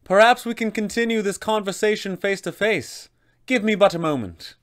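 A man voicing a haughty, scheming elven lich sorceress in a formal, measured, theatrical manner, for a tabletop game villain. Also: high voice, fairly bright tone, voice pushed up. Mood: coolly welcoming.

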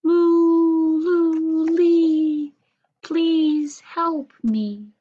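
A gentle little storybook shepherdess, played by a woman, calls in a high, lilting singsong. The words are drawn out, tender and a little anxious. The recording is slightly dull. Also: steady tone, very slow, full character voice. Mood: plaintive singing.

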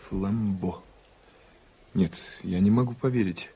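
An actor playing an earnest, polite, doubting American journalist in a radio play, with formal, hesitant speech. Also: male voice, low voice, slow, warm tone, slightly rough, exaggerated voice. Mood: astonished. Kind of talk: 1980s radio play acting.

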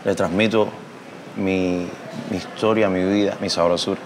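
A man's voice: low-pitched, normal speed, noisy background. A Cuban musician in his thirties answering interview questions in a reflective, hesitant way, with a Cuban Spanish accent, filmed seated indoors by a large window. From Cuba. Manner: reflective, hesitant with fillers and restarts, expressive hand gestures.

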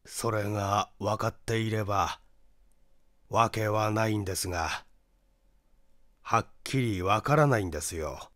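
A man playing a grave, regretful brother who explains in a measured, halting way, in a story reading. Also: on the low side, fairly bright tone, dry recording, studio quality. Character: grave, regretful brother recounting a family mystery.

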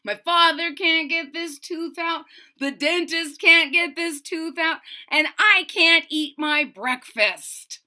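Woman acting a loud, plaintive little boy. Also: extremely high pitch, slow pace, voice pushed up, exaggerated voice. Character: sad, frustrated young boy with loose tooth.

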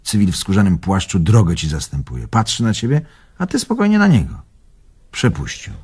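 Husky, very animated old storyteller. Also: male voice, on the low side, moderate pace, exaggerated voice. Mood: admiring, dramatic. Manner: theatrical, expansive storytelling. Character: animated, teasing old Polish man fond of reminiscing. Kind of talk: radio drama acting, reminiscing.

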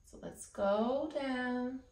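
A woman's voice: high voice, very slow, darkish tone. A woman in her thirties teaching young children as a preschool teacher, encouraging them and often checking in with questions. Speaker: preschool teacher hosting an art lesson. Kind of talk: instructional talk to young children. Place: small indoor classroom-style room. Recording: echoey indoor room.

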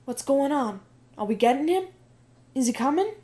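A woman's voice: high voice, lively intonation, normal speed, warm tone. A woman voicing a fiery young girl who poses as a newsboy, speaking in tough, heated street talk in an amateur audio drama. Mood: anxious.

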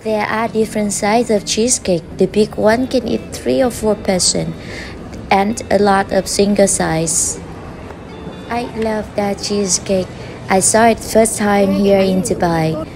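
A woman's voice: mid-range voice, low-quality recording. A woman food vlogger with a Vietnamese accent, speaking English as a second language in a voice-over review with simple, friendly phrasing. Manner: simple non-native phrasing, slow and friendly. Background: background music.

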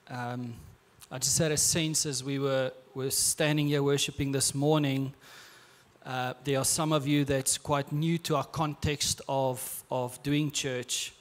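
A man in his thirties with an Afrikaans accent, preaching a sermon on a church stage into a handheld mic in a relaxed, conversational way with restarts. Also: mid-range voice, slow pace. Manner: conversational, with frequent filler and repeated words. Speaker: pastor. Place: large church stage, indoors.

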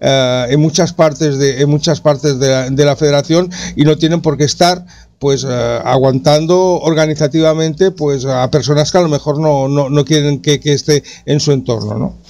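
A man's voice: a little high, moderate pace, a little mellow, no echo. A man from Spain with a Spanish accent, hosting a sports talk radio show with many hesitations and restarts. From Catalonia, Spain.

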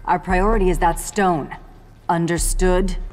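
An actress playing a brisk military officer in a video game, authoritative and clipped. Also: female voice, lowish voice, fairly even intonation, big-room sound, a little hiss or hum, lightly acted. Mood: stern. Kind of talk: dramatic video game dialogue.

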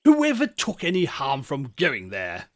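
A man's voice: medium pitch, wildly swooping pitch, measured pace, a bit bright. Man playing a scornful, cocky English schoolboy. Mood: rude. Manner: brash, dismissive.

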